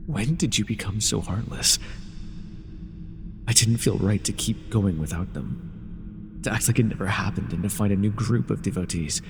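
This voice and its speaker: An actor playing a grieving, devoted fallen god in mortal form, earnest and reproachful, in a dramatic fantasy audio roleplay. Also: male voice, on the low side, moderate pace, creaky, pauses often. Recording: reverberant hall effect, faint noise.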